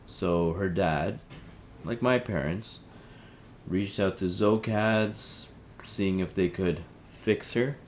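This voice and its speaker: A man playing an apologetic, evasive helpline listener in an audio drama, speaking in a hesitant, halting, conciliatory way. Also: deep voice, slight background noise. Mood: weary, guarded. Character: Theo, apologetic, evasive male helpline listener with a troubled marriage. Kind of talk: audio drama, naturalistic phone conversation.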